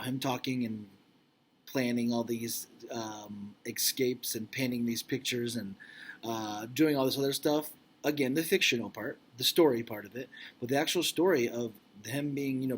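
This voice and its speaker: A man reading a picture book aloud to children like a teacher, expressive and deliberate, with informal explanatory asides. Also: mid-range voice, husky.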